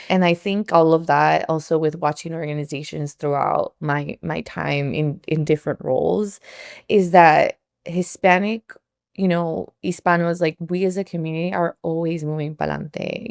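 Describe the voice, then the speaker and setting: A low-voiced woman in her thirties with a gravelly edge, chatting as an interview guest. She talks in a loose, filler-heavy way and drops in the odd Spanish word. Close, dry sound with no room echo. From Colombia. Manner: chatty, warm-hearted, many fillers like "like" and "you know", mixes in Spanish words. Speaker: nonprofit consultant and founder, guest. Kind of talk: casual conversational interview.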